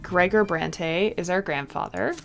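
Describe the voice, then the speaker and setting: A woman's voice: fairly low pitch, measured pace, creaky. Woman reading a game aloud over music. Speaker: game streamer. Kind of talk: let's-play reading of a visual novel aloud with casual commentary.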